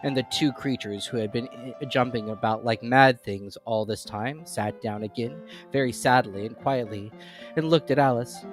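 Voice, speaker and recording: An expressive, theatrical narrator giving a dramatic reading of a children's classic. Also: medium pitch, normal speed, soft background music. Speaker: storybook narrator.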